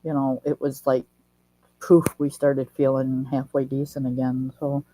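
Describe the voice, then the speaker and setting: A woman's voice: extremely low pitch, flat delivery, measured pace, warm tone, low-quality recording. A woman hosting a casual online chat, sounding a little nasal from a head cold and telling things in a chatty, folksy way. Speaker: host.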